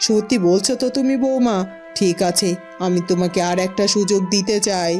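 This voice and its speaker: A woman's voice: medium pitch, brisk, a little mellow, soft background music, close to an everyday voice. A woman voicing a dramatic, middle-aged village mother-in-law in a cartoon. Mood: relenting, pleased. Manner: scolding, dramatic lamenting. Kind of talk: Bengali cartoon voice acting.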